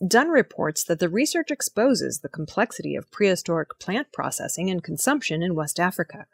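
A woman presenting scripted news stories in a measured, formal reading manner. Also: fairly low pitch, sing-song, vocal fry.

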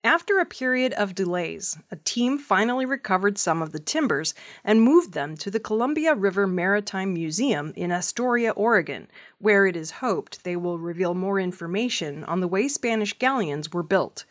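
A woman reads the news from a script as a presenter. Her voice sits at a middle pitch for a woman, and she delivers it as narrated reporting. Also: expressive, moderate pace, hardly any pauses. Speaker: news reader.

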